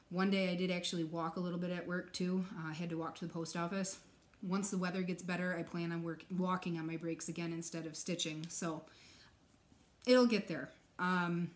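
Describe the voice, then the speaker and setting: A chatty young woman talks to her viewers from a couch at home, filling her pauses with ums and soft laughs. Her voice is a little low-pitched and still recovering from an illness, with a slight cough left in it. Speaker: stitching vlogger. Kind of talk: casual chat to camera. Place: living room couch.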